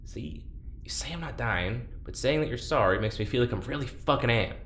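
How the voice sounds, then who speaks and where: An actor playing a wary, sardonic prisoner and test subject in an audio drama, blunt and sarcastic. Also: male voice, medium pitch, animated, brisk, a bit bright. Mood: angry, hurt. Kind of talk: naturalistic audio drama acting. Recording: roomy, echoing, faint background noise.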